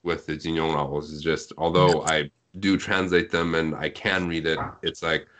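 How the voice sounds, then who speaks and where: A man's voice: very deep voice, level tone, moderate pace, fairly bright tone. A man speaking as a podcast guest in casual conversation, explaining things in a talkative way. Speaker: guest on a podcast, web novel translator.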